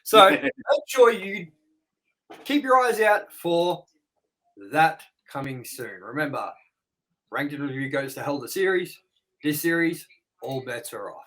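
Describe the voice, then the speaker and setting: A man hosting a review show, rambling and excitable as he wraps up the episode with plugs. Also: fairly high pitch, measured pace, low-quality recording. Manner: rambling and excitable, with sound-effect noises. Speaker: host. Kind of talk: casual episode wrap-up with plugs.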